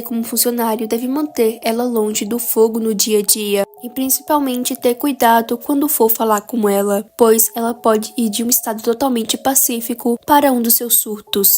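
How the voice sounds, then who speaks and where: A woman's voice: on the high side, normal speed, hardly any pauses. Woman narrating a horror recap. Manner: steady, explanatory storytelling.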